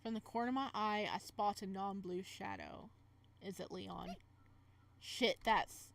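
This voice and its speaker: A woman's voice: medium pitch, measured pace, creaky, a bit bright. A woman reading as the flustered young male protagonist of a romance visual novel, in dramatic first-person narration. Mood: tense.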